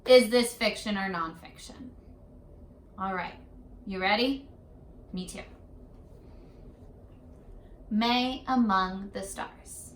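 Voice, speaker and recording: A woman teacher leading a read-aloud lesson for young children, in an animated, questioning, sing-song way. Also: medium pitch, slow pace, pauses often, slight background noise. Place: classroom-style room. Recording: echoey room, distant mic.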